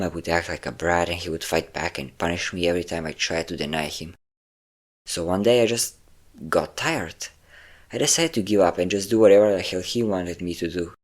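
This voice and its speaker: A man playing a possessive, obsessive vampire lover, vain and cruel, in an intimate, theatrical roleplay monologue with lingering words and sighs. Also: sits low.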